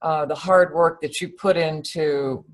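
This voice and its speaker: A woman's voice: low-pitched, fairly even intonation, unhurried. A woman hosting a webinar over a video call, thanking her guest in a meandering way and laughing easily. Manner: warm thanks with many fillers and restarts, laughs easily. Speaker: webinar host. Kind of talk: webinar conversation.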